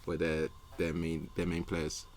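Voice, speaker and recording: A man's voice: deep voice, monotone, measured pace. A man on a football podcast panel, chatting informally with slang and repeated phrases. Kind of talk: casual football chat.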